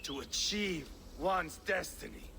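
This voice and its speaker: An actor playing a bloodthirsty, taunting Viking warrior in a video game, theatrical and unhinged, moving between whispers and roars. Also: male voice, high voice, animated, takes it slowly, creaky, bright, forceful tone, slight background noise, full character voice. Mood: gloating, taunting. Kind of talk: dramatic video game acting.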